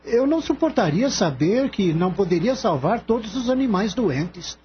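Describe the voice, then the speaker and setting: A man playing a gentle, earnest young veterinary assistant in a radio drama, polite and heartfelt. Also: high-pitched, sing-song, moderate pace. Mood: sad, earnest. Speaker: voice actor. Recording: dull lo-fi audio, faint background noise.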